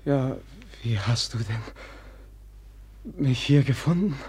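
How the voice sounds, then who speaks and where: A naive, sincere young prince in a classic radio drama. His voice sits at an average male pitch. He speaks softly and gropes for words, gentle and self-deprecating. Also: expressive, breathy edge, exaggerated voice. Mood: hesitant. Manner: halting, soft-spoken, searching for words. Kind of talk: German radio play acting.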